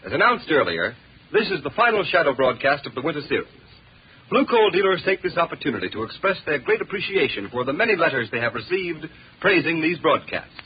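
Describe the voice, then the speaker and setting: Male American announcer, very husky, lively pitch swings, formal ad read, muffled vintage radio. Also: fairly quick. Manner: formal, declamatory. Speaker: commercial announcer. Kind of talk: 1930s radio ad read, scripted. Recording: muffled 1930s radio recording.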